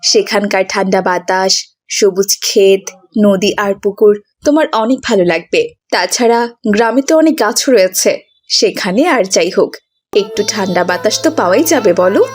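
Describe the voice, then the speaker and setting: A woman's voice: mid-range voice, normal speed, faint music behind, pitched below the performer's own voice, natural voice. A woman playing a caring, agreeable mother in a cartoon, talking soothingly. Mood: reassuring. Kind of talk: Islamic cartoon voice acting.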